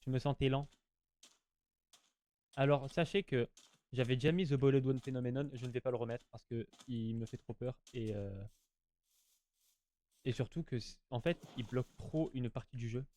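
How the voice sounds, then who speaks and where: A man's voice: medium pitch, steady tone, moderate pace, long pauses, warm tone. A man giving casual, slangy gameplay commentary, chatting to his viewers and often repeating himself. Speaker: gaming YouTuber.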